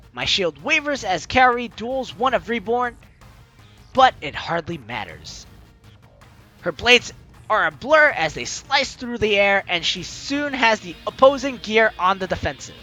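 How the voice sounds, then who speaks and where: A man's voice: sits high, unhurried. A man hosting a let's play, reading a visual novel story aloud in a theatrical, dramatic way. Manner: theatrical, animated delivery. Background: game music.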